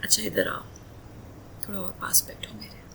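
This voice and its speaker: A woman playing a loving, playful wife in a romantic roleplay ASMR, speaking softly and breathily in an intimate, coaxing manner, often slipping into whispers. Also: moderate pace, close to an everyday voice. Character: loving, emotional, playful young wife doting on husband.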